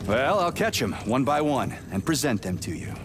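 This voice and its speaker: An actor playing a gruff, grumpy and somewhat naive soldier in a video game, blunt and curt. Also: male voice, mid-range voice, sing-song, normal speed, background noise, close to an everyday voice. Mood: matter-of-fact. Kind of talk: video game character acting.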